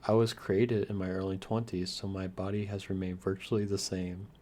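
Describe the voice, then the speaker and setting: A man playing a shy, lonely, youthful vampire lord in an ASMR roleplay, stammering earnestly with hesitant, softly formal manners. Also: low-pitched, level tone, normal speed, natural voice. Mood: shy, amused. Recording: clear background hiss and noise.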